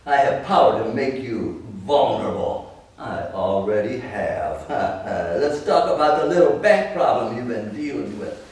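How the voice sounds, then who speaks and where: A man's voice: medium pitch, animated, a little slow, darkish tone, close to an everyday voice. A man playing a menacing stranger, smug and mock-friendly, in a readers theater piece. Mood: threatening. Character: menacing male stranger, falsely cheerful, taunting. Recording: very echoey room, distant mic.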